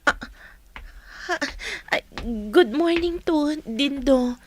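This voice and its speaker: An actress in a radio drama playing a young woman in love, speaking softly and earnestly. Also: high voice, very slow, a little hiss or hum. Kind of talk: broadcast radio drama acting.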